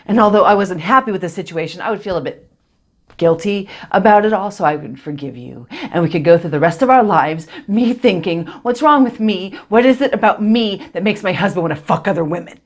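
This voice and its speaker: A woman performing a dramatic stage monologue as a hurt, bitter wife confronting her husband, intense and controlled, with a breathy edge. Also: mid-range voice, lively intonation, a little fast, voice pushed up, close to an everyday voice. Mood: bitter, accusing. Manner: intense, accusing, controlled, dropping to near-whispers.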